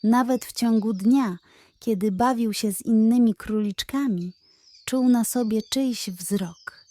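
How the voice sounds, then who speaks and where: A woman narrating a children's bedtime audio story in a calm, measured, gentle way. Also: fairly high pitch, dry recording.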